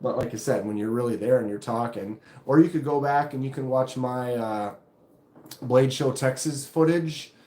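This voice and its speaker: Deep voiced guy rambling on his live stream, reads chat out, mid pitch, kinda echoey room. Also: moderate pace, mellow. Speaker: knife channel live streamer.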